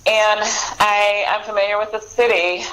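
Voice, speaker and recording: A woman's voice: medium pitch, little pitch movement, moderate pace, a bit bright, low-quality recording. An American woman talking casually as an author in an interview, in a chatty, rambling way.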